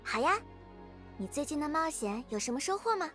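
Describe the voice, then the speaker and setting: Cheerful young adventurer, high voice, animated intonation, friendly, musing, game character. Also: female voice, fairly quick, soft background music. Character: cheerful young female adventurer-naturalist, kindly, wistful. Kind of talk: video game voice acting.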